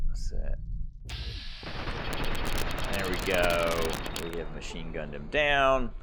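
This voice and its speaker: A man's voice: sits low, big pitch swings, slow pace, very noisy. A man giving casual gameplay commentary as a channel host, rambling and thinking aloud.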